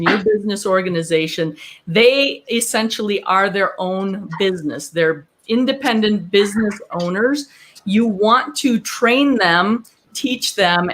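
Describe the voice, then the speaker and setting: A woman co-hosting an instructional business podcast, explaining things step by step in a measured way with small asides. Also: medium pitch, a bit bright. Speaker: podcast co-host.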